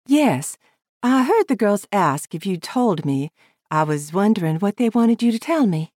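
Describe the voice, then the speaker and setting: A woman's voice: mid-range voice, big pitch swings, unhurried, darkish tone, no room sound, studio quality, voice pushed up. A woman voicing a polite, earnest young frontier lady who speaks formally. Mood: inquiring.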